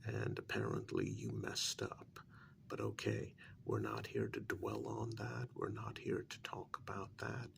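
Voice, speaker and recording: A man doing a soft-spoken ASMR role-play as a frank, patient adviser to a cursed vampire, speaking in a measured, whispery way with a gravelly fry. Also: a bass voice, sing-song, voice pushed down, full character voice. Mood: patient, firm. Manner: measured, deliberate, explanatory.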